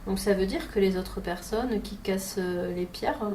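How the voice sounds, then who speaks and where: A woman hypnotherapist guiding a session with gentle, patient questions and often repeating her client's answers back. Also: medium pitch, moderate pace, slight background noise.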